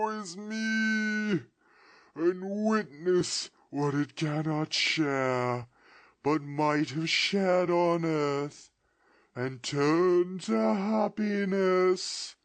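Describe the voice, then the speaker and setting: A man voicing a doomed, mournful male ghost in chains, drawing out his words in a slow lament. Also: sits high, no room sound, voice pushed up.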